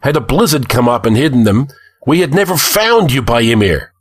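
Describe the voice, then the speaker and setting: A man voicing a gruff, exasperated but loyal Norse warrior chieftain in a fantasy audiobook. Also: on the low side, moderate pace. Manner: grunting, gruff.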